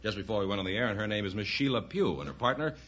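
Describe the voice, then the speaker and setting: A man's voice: on the low side, animated, very fast, very noisy. A man with an American accent announcing a 1950s radio show in a showy, projected style. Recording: muffled old radio recording with music.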